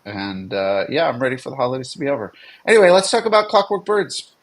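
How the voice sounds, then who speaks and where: A man's voice: medium pitch, sing-song, fast. A man co-hosting in a casual, unscripted chat, talking in a rambling, jokey way. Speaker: co-host and game developer.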